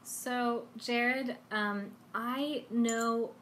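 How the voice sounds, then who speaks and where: A woman's voice: on the high side, fairly even intonation, very slow, a bit bright, slight background noise. A woman hosting a language lesson live stream, talking in a casual, chatty and encouraging way.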